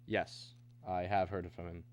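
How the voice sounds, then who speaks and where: An actor playing an anxious, earnest American family man in a mid-century radio drama, with hesitant, halting speech. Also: low-pitched, little pitch movement, a little mellow, a little hiss or hum. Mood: guarded, resigned.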